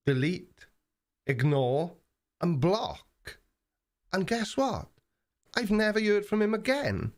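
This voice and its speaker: A man in his forties with an Australian accent, hosting a live stream and chatting casually while answering viewer comments, relaxed and plain-spoken with frequent ums. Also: mid-range voice. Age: 40s.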